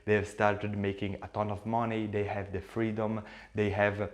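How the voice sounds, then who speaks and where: A man's voice: on the low side, level tone, normal speed. A man whose first language is Italian, speaking English with an Italian accent in an earnest, repetitive way, talking to camera with frequent fillers and restarts. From Switzerland. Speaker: YouTuber and agency owner. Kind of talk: casual talk to camera.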